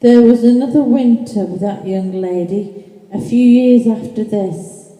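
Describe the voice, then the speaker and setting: Woman as a slow, solemn ghostly spirit guide. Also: fairly high pitch, dark tone, natural voice. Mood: grave. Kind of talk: amateur radio play acting. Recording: echoey reverberant hall.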